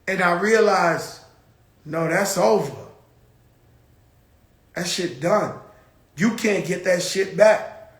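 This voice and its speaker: A man's voice: high voice, takes it slowly, pauses often, echoey room. A man hosting his own show, talking in a blunt, profane, rambling casual monologue. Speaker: show host.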